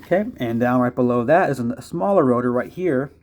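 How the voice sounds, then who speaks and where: A man's voice: mid-range voice, expressive, brisk, darkish tone. A man giving a casual, hands-on product review in a rambling, hesitant way with folksy phrasing.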